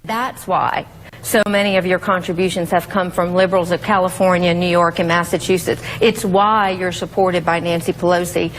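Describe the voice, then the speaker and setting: A woman's voice: on the low side, steady tone, moderate pace, low-quality recording. Middle-aged woman in a debate, firm and pointed, bit of faint room hum behind. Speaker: political candidate in a debate. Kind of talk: debate clip.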